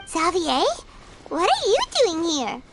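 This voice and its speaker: Squeaky, childlike little fairy companion. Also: female voice, sing-song, takes it slowly, heavy background noise, full character voice. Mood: curious. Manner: perky, inquisitive. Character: tiny childlike floating fairy companion, curious and chatty. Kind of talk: video game voice acting.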